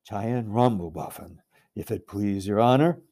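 A man voicing a huge, polite, bumbling male giant with a gruff, rustic way of speaking. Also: lowish voice, measured pace, warm tone, close to an everyday voice. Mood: courteous.

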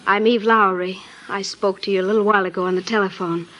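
An actress playing an anxious, devoted, persistent sweetheart with an earnest manner, in 1940s radio drama style. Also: female voice, medium pitch, fairly even intonation, fast, a bit bright, close to an everyday voice, rough recording. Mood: polite. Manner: pleading, earnest. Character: anxious, devoted, persistent woman pleading with her lover.

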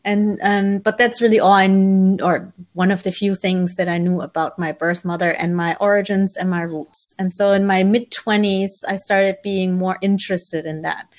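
A woman in her forties, raised in Germany, telling her story in an interview as a spiritual author, relaxed and thoughtful with frequent pauses. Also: mid-range voice. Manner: relaxed, thoughtful, many ums, light laughs.

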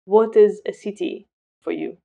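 A woman hosting a conversational interview podcast, putting questions to her guest in a calm way. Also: mid-range voice, unhurried, gravelly. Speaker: podcast host.

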